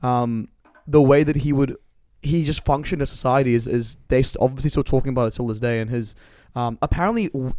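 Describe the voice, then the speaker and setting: Male podcast co-host, slightly raspy today, loose rambling chatter, dry studio sound. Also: medium pitch, brisk, hardly any pauses, a little mellow, no room sound. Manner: rambling, laughs often. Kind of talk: casual podcast chat.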